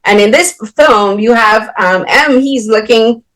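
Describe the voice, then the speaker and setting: A woman's voice: a little high, unhurried, fairly bright tone, rough recording. A woman from Barbados, interviewing filmmakers with long, winding questions full of fillers. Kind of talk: film interview.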